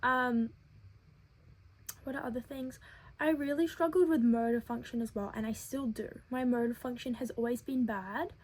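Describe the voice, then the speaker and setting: A young woman with a childlike head voice, telling her own story in an earnest, thoughtful vlog with frequent filler pauses. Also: fairly high pitch, a little mellow, husky. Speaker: web series creator.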